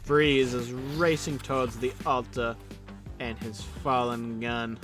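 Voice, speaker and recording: Slow-paced man narrating over music. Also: medium pitch, flat delivery. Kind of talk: dramatic scripted action narration.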